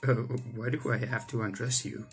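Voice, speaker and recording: A man's voice: lowish voice, fairly even intonation, moderate pace. A young man, a gaming YouTuber, reading visual novel text aloud and adding his own asides. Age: young adult. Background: game music.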